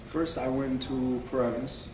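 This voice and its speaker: A man's voice: medium pitch, fairly even intonation, measured pace. A young man in his twenties from Syria, a student guest, answering plainly with fillers. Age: 20s. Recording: echoey room, far from mic, noise.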